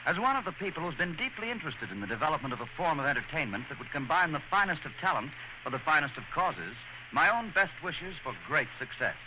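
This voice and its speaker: A man's voice: fairly high pitch, big pitch swings, quick pace. A man hosting a radio show in formal, theatrical 1930s announcing style. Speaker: radio show host and narrator. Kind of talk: 1930s radio announcing, dramatic scripted narration. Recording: noisy vintage radio broadcast.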